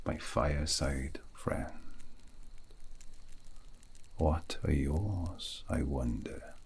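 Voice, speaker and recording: Man acting gentle elven mage, very deep breathy murmur, wistful, slow halting poetic delivery, ASMR fantasy roleplay. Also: heavily acted. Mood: musing, gentle. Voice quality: breathy, gravelly. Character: gentle, wistful elven dream mage, wise old shepherd of sorts. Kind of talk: ASMR fantasy roleplay, soothing sleep guidance.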